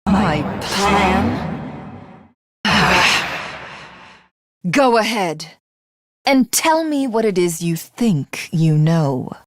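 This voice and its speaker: An actress voicing an arrogant, cruel video game villainess who craves godhood, with haughty, grandiose and menacing formal diction. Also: female voice, medium pitch, big pitch swings, extremely slow, long pauses, no room sound, clean studio sound, exaggerated voice. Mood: scornful.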